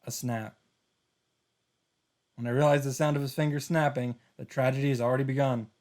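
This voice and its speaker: A man's voice: mid-range voice, flat delivery, fairly quick, a little mellow. A man reading a visual novel story aloud as a host, in a dramatic narrating style.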